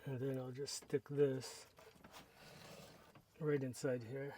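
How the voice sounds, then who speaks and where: A man talks through a hands-on install in an easy, unhurried way, narrating each step as he goes. His pitch sits in a middle range. There is a faint hiss of noise behind him. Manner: relaxed, step-by-step explaining while working with hands. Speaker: product reviewer. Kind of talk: casual how-to narration.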